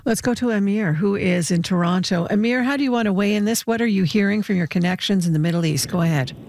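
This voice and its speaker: Gravelly-voiced radio call-in host. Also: medium pitch, fast talker, a little mellow, slight background noise. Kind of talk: call-in show hosting.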